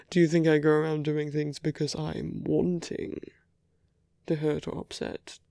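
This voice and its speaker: A man playing a suave, persuasive villain in an ASMR roleplay, speaking in measured rhetorical questions and slipping into near-whispers. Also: a little high, little pitch movement, gravelly, mellow, dry recording, studio quality. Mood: smooth, self-justifying. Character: suave male villain, persuasive, teasing, self-justifying. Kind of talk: ASMR roleplay audio drama.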